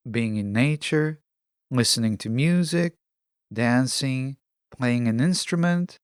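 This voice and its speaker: A man hosting a self-help podcast, speaking in a measured, deliberate and reflective monologue. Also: medium pitch, creaky, dry recording, clean studio sound. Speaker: podcast host.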